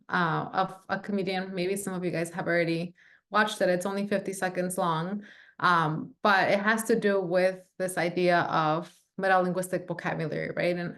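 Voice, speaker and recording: A woman's voice: lowish voice, fairly bright tone. A woman leading a training session over a video call, chatty, with lots of fillers and "right?". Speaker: workshop facilitator.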